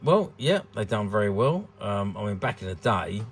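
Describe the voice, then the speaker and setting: A man's voice: lowish voice, very animated, normal speed, a little hiss or hum. A British man in his sixties giving rambling, hesitant, unscripted commentary on a collectable, often repeating words. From United Kingdom. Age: 60s. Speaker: vintage toy dealer presenting a collectable. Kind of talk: unscripted informal product commentary.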